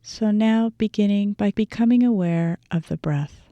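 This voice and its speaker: A woman teacher leading a guided meditation with gentle, measured phrasing and deliberate pauses. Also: medium pitch, no room sound, lo-fi sound.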